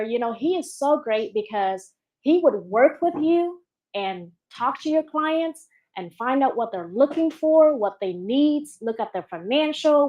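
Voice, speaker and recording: A woman hosting a real estate seminar, chatty and jokey, often asking the room questions. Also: on the high side. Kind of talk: casual seminar talk.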